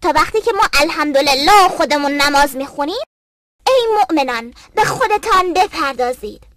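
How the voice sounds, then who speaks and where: A woman's voice: high-pitched, lively intonation, a bit bright. A woman voicing an earnest, pious young boy in an educational cartoon, explaining things in a bookish way. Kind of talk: dubbed educational cartoon acting.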